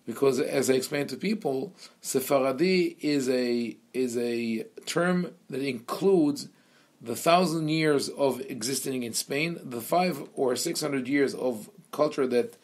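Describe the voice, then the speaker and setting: A man born in Israel, a rabbi lecturing a seminar class, with many pauses, fillers and restarts. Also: medium pitch.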